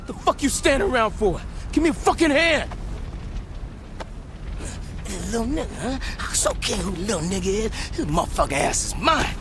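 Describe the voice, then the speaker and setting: Casting: a hotheaded young game gangster, very high voice with big swings, confrontational. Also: male voice, very animated, fast, noisy background. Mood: furious. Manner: profane, confrontational street talk. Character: brash, resentful young male gangster.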